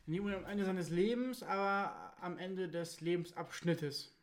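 A woman's voice: lowish voice, normal speed, a little hiss or hum. A woman talking casually and frankly as co-host in a question card game. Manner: informal, colloquial, opinionated. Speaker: co-host of a faith podcast.

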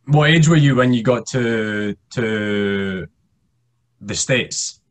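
A man hosts a relaxed, informal interview. His voice sits a little low, and he frames questions haltingly, doubling back on words. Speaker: podcast host, interviewer. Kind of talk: casual interview.

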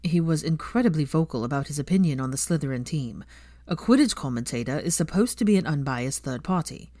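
A woman's voice: low-pitched, lively intonation, fairly quick, dark tone, no echo. Sardonic young male wizard for story narration, played by a woman; haughty, scoffing, grudging, very dry recording. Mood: grudging irritation.

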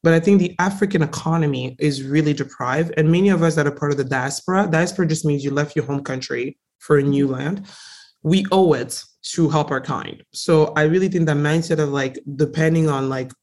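A young woman from Congo, a native French speaker, talks as a guest in a relaxed interview. She leans hard on key words and tells her story with lively emphasis, sounding eager and direct. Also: deep voice, fairly quick. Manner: emphatic, animated, frequently stresses words. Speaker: guest entrepreneur.

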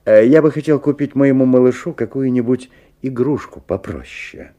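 An actor playing a genial father who narrates a story in a 1970s radio play, theatrical, with deliberate pauses. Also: mid-range voice, warm tone, muffled recording, natural voice. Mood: storytelling, amused. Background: faint music.

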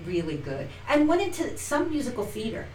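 A middle-aged woman, an actress and acting teacher, telling her story as an interview guest, animated and acting out quoted lines with frequent restarts. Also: high voice, a little fast. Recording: roomy, distant from mic, faint noise.

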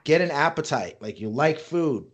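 A man's voice: fairly high pitch, lively intonation, moderate pace, breathy edge. A middle-aged man speaking bluntly and earnestly, with casual swearing, as a podcast co-host. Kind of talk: casual chat.

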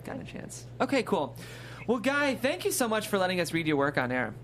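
A man's voice: sits high, big pitch swings, brisk, fairly bright tone. Guy hosting a chatty panel, upbeat and informal, bit of hum behind him. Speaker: host of a table-read vodcast. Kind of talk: casual hosting and panel chat.

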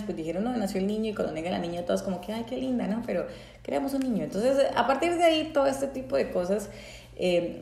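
A woman's voice: mid-range voice, creaky, mellow, roomy sound. A Colombian woman from Santander sharing a candid, reflective personal testimony, with frequent fillers and restarts.

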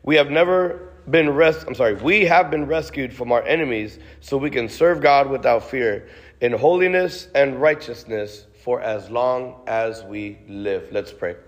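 A man's voice: medium pitch, measured pace, muffled recording. A man preaching a sermon and praying aloud in a casual, conversational way, full of restarts, with "God" and "Lord" tags. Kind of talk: sermon with spoken prayer. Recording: long reverb of a large hall.

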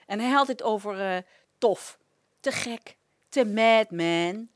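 A woman's voice: mid-range voice, slow pace, dry recording, studio quality. A woman relating anecdotes as a presenter on a local radio show.

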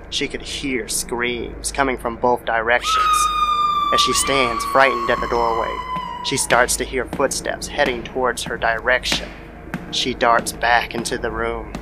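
A man narrating a scripted horror story in the style of old-time radio. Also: mid-range voice. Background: background music.

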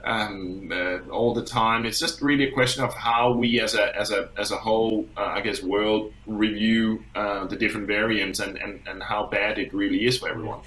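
A man's voice: on the low side, fairly even intonation, normal speed, hardly any pauses, fairly bright tone. A man from Denmark talking as an industry guest in a conversational interview, often repeating words as he thinks aloud. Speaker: hospitality industry expert guest. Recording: roomy, echoey sound.

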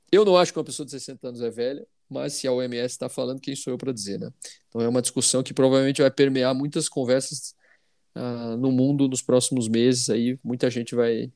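A man's voice: mid-range voice, a little mellow, no room sound, studio quality. A man with a Brazilian accent hosting a discussion in a conversational commentary style. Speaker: discussion host.

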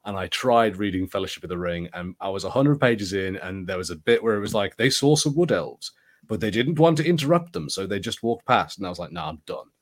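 A man from the UK with a fairly low voice chats as a podcast co-host. His delivery is conversational and opinionated, like an informal talk between friends. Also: lively intonation, a little fast. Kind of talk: casual chat about fantasy film and TV.

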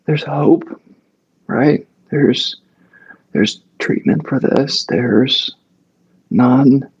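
A man's voice: medium pitch, darkish tone, breathy edge, lo-fi sound. A male actor speaking as an interview guest, telling a long story casually with many fillers and restarts.

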